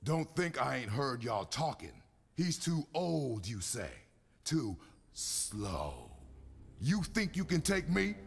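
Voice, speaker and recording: An actor playing a menacing, boastful middle-aged crime boss and fight promoter in a video game cutscene, taunting and domineering. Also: male voice, mid-range voice, big pitch swings, slow pace, vocal fry, slightly rough, heavily acted. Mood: boastful challenge. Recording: voice in long hall reverb under loud music.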